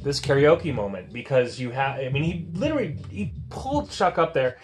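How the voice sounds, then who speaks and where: Male co-host, mid-pitched, loose and hesitant talk over a faint music bed. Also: animated. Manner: halting, many fillers and restarts. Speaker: podcast co-host. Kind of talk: casual chat about a TV show.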